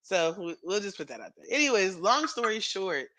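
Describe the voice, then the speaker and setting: A man in his thirties chatting casually as a podcast co-host, rambling with fillers and quick laughs. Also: high voice, sing-song, sharp tone, no room sound. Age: 30s.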